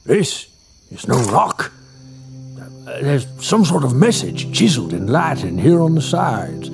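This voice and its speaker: An actor playing an excitable, pompous older scholar in an audio drama, speaking in a theatrical, drawn-out way. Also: male voice, mid-range voice, gravelly, over music. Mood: excited.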